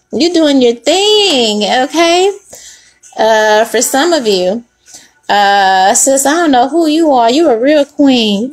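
A woman talks through a tarot spread at her table in a loose, slangy way. She swears casually and drops in laughing asides, speaking close to the camera. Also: a little high. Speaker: tarot reader. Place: indoors at a table with cards.

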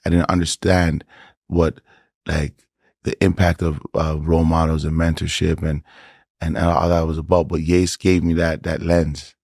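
A man with a Toronto accent, a podcast guest telling his story in a laid-back, animated way with slang asides. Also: extremely low pitch, moderate pace, breathy edge, no room sound, studio quality. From Canada. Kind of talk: casual interview chat.

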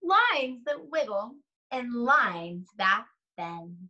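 A woman's voice: a little high, big pitch swings, extremely slow, pushed and bright, lo-fi sound. A young adult woman, an art teacher, leading a read-aloud lesson for children with simple questions and prompts.

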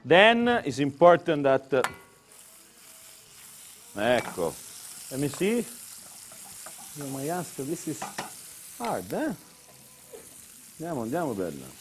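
A man's voice: on the high side, wildly swooping pitch, takes it slowly, pauses often, a little hiss or hum. A man from Tuscany with an Italian accent, whose first language is Italian, chatting through a cooking demonstration in broken English with Italian interjections. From Tuscany, Italy. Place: kitchen demonstration set.

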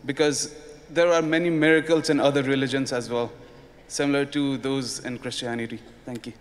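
A young man from Nepal speaks politely and a little hesitantly from the audience. His voice sits in a middle pitch. The arena lends a long reverb. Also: fairly even intonation, fairly quick. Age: young adult. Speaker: audience questioner. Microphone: mic on a stand.

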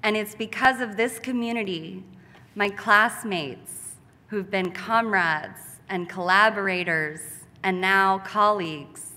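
A woman in her thirties giving a graduate's commencement speech at a podium, slow, heartfelt and reflective. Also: medium pitch, sharp tone. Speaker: graduating student speaker. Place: large church sanctuary at a podium. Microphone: podium mic, far camera. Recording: long hall reverb.

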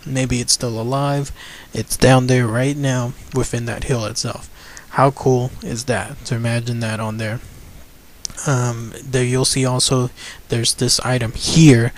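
Enthusiastic man telling a legend. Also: mid-range voice. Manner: enthusiastic, rambling with fillers. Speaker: channel host. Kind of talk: casual voice-over storytelling over photos.

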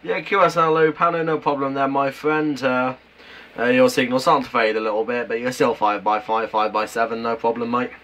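A British man in casual two-way radio chat, halting and matey, using operator jargon. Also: mid-range voice, little pitch movement, fairly bright tone. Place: at home beside a CB radio set. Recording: noisy room with radio hiss.

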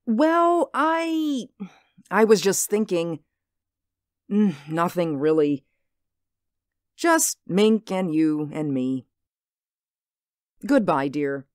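A woman's voice: fairly low pitch, sing-song, drawn-out words, pauses often, no room sound, clean studio sound, exaggerated voice. A woman playing a worried, hesitant suburban mother in a radio drama. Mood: subdued. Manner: hesitant, anxious. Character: uneasy, worried suburban mother, trying to stay firm.

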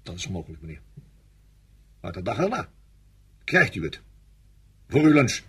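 An actor playing a weary French divisional general in a radio drama, gruff, sardonic and grumbling, yet deferential to his superior. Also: fairly low pitch, big pitch swings, normal speed, a bit bright, full character voice. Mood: resolute.

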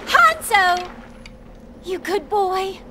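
A woman's voice: extremely high pitch, expressive, very slow, heavy background noise, full character voice. An actress playing a cheerful, motherly female ninja in a video game, playful and chiding. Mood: fond. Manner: bubbly, chiding. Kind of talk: video game English dub acting.

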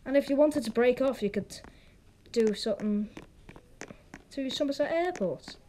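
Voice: high voice, normal speed, darkish tone. A casual gaming vlogger giving rambling, chatty, opinionated commentary as host of the video. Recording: clearly noisy home recording with clicks.

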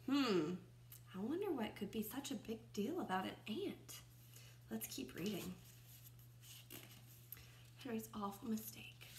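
A woman in her twenties reads a picture book aloud like a teacher to young children, expressively, pausing to comment and ask questions. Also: mid-range voice, vocal fry, slight background noise. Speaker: first-grade teacher reading aloud. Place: small room at home, indoors by a window. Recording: roomy, echoing home recording.

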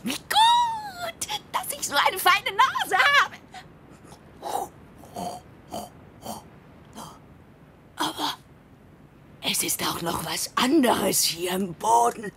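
An actress voicing an evil, greedy, pig-like queen in a radio play, theatrical and savouring each word in a hoarse, rough voice. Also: high voice, very animated, slow pace, fairly bright tone, a little hiss or hum, heavily acted. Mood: greedy, excited.

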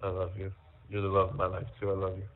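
A man in an intimate, casual phone call, talking haltingly and repeating his phrases, on a tinny jail phone line. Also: deep voice, monotone. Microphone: telephone handset.

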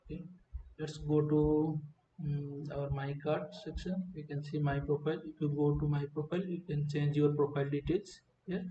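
Man, software demonstrator, hesitant unscripted delivery, mellow tone, roomy sound with faint noise. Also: on the high side, level tone, moderate pace, dull audio. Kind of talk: unscripted screen-recording walkthrough.

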